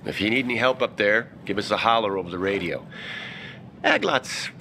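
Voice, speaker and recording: A man's voice: on the low side, fairly quick, gravelly, pushed and bright, a little hiss or hum. A male actor playing a breezy, joking soldier in a video game cutscene. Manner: breezy, teasing. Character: jokey, rough-and-ready male soldier.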